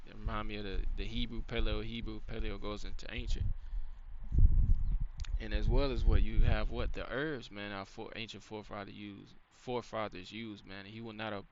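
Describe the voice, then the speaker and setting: A man's voice: lowish voice, flat delivery, moderate pace, lo-fi sound, background noise. A man giving a casual faith-based lesson, rambling and loose, dropping "you know" and "man" throughout. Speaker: channel host giving a faith-based teaching.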